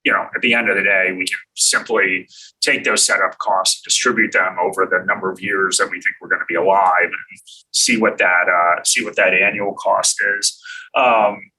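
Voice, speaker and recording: An American man hosting a casual live stream about money, with a low, very bright voice that has a husky edge. He talks in a relaxed way, thinking aloud and filling pauses with ums and uhs, and sits a little back from the mic. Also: far from the mic, rough recording. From United States. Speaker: host answering viewer questions.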